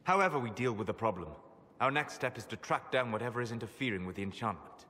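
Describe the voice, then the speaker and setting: An actor playing a calm, learned elf mage in a video game, speaking in a measured, formal, instructive way. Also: male voice, medium pitch, in a large hall. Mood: stern. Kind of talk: dramatic video game acting.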